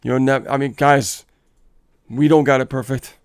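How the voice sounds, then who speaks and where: A man's voice: medium pitch, fairly even intonation, unhurried, clear, resonant tone. A man co-hosting a casual live coaching stream, upbeat and motivational, laughing often and reading viewer comments aloud.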